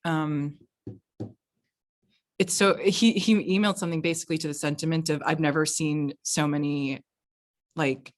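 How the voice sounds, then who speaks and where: A woman with an American accent answering casually as an interviewed film producer, reflective and halting, often saying "like". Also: low-pitched, steady tone. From United States. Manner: halting, reflective, frequent 'like'. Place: remote virtual event.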